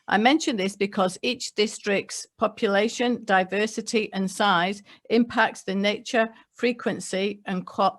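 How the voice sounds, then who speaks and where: A middle-aged woman born in England, a local government leader giving a prepared webinar address in a measured way, reading figures from her notes with small slips. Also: mid-range voice. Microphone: computer mic on a video call.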